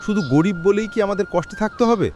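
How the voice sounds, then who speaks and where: A man's voice: sits high, fast talker, noisy background, close to an everyday voice. A man playing a frustrated yet hopeful poor village labourer in a cartoon, plaintive and questioning. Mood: anguished, pleading. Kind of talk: acted cartoon dialogue.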